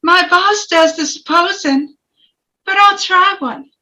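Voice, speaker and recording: A woman's voice: very high-pitched, takes it slowly, sharp tone, heavily acted. A woman playing a plain-spoken, self-assured working man on a jury in an amateur table read. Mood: pointed. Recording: dull, lo-fi microphone.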